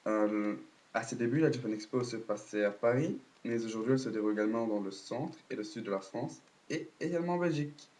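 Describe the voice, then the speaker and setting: A man's voice: medium pitch, brisk, a little mellow. A man with a cold giving a casual, hesitant spoken recap full of fillers and restarts. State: has a cold, coughing. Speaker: video blogger.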